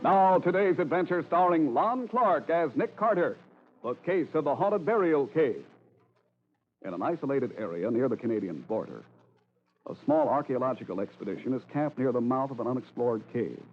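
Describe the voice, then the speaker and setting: A man's voice: medium pitch, expressive. Tinny old-time American radio announcer man. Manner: polished, emphatic, measured. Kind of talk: 1940s radio announcing, scripted narration. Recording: narrow-band old radio recording.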